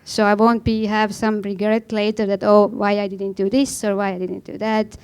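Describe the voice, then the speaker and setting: Clear-voiced woman with an Estonian accent. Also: mid-range voice, flat delivery, normal speed, a little mellow. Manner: blunt, informal, non-native phrasing. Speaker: panel guest, professional snowboarder. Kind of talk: conversational personal account on a panel.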